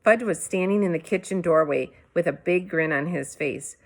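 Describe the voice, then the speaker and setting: A woman narrating a children's story aloud as a teacher would, with expressive delivery. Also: lowish voice, normal speed.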